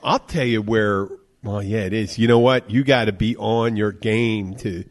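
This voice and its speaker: A man's voice: fairly low pitch, fairly even intonation, a little slow. A man in his fifties, a bartender hosting a casual podcast chat, rambling and acting out what people say. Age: 50s. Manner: rambling, acts out quoted voices.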